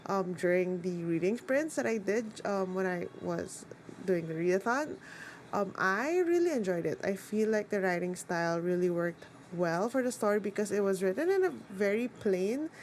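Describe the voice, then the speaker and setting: Woman book vlogger, native Tagalog speaker, easygoing chatty delivery full of "like" and "um", mid-pitched. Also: animated, normal speed, a little mellow, a little hiss or hum. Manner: chatty, rambling, lots of "like" and "um". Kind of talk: casual chat.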